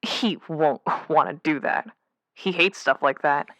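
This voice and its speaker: A woman's voice: deep voice, unhurried, fairly bright tone, no echo. A woman playing a troubled mermaid calling a radio advice show in an audio drama, conversational, candid and a little hesitant. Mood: resigned. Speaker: caller. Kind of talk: naturalistic audio drama phone-in.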